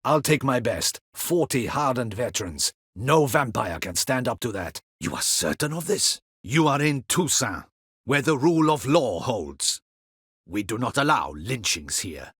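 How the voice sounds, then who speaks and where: Husky, stern guard captain. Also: male voice, mid-range voice, very animated, a little slow, a bit bright, dry recording, clean studio sound, full character voice. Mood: firm, dutiful. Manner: formal, clipped, courtly military diction. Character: stern, dutiful male captain of a ducal guard. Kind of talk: video game fantasy voice acting.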